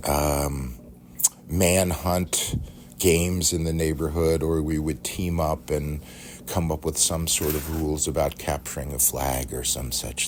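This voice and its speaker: Middle-aged American male, extremely low and creaky, rambling storyteller with a halting conversational delivery. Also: darkish tone. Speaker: podcast storyteller. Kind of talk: casual, rambling reminiscence. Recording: faint background noise.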